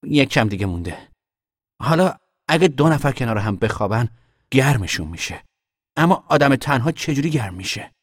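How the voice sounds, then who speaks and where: An actor playing a young man in hiding, talking to his mother in an earnest, quietly stubborn way. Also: medium pitch, very animated, dry recording, studio quality. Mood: reflective. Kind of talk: audio drama acting.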